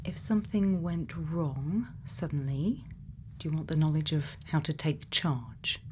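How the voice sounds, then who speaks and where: Woman acting as gentle, quirky scientist, mellow lowish voice, murmured ASMR roleplay, unhurried questioning, clear background ambience. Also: slight background noise. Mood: calm, attentive. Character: quirky, gently probing female scientist programming a robot's memories. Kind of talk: soft-spoken ASMR roleplay.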